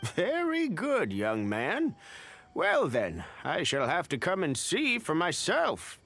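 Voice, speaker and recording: Exacting old man for a game; skeptical and condescending, with hugely varied, highish delivery. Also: huge pitch swings, slow pace, a bit bright, heavily acted. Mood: haughty, approving. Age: older. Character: crusty, exacting elderly male villager. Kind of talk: video game voice acting.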